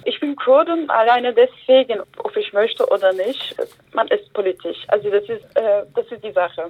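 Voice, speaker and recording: Woman, high-pitched, earnest, hesitant with fillers, thin telephone sound. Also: moderate pace. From Germany. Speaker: interview guest, Kurdish feminist activist. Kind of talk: phone-in interview answers. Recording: tinny phone line.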